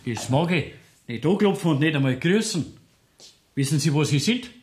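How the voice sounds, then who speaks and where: A gruff, pedantic court clerk, played by a man. His voice sits rather high for a man and has an officious radio-drama delivery. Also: animated, normal speed, noisy background, natural voice. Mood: officious, scolding. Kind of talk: 1970s German radio play acting.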